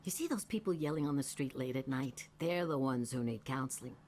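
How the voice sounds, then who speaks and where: A woman's voice: very deep voice, lively intonation, a little fast, a little mellow, slight background noise. A woman voice actor playing an eccentric, streetwise older woman who rambles in a folksy, suspicious way in a video game. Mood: breezy, defiant. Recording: game music behind the voice.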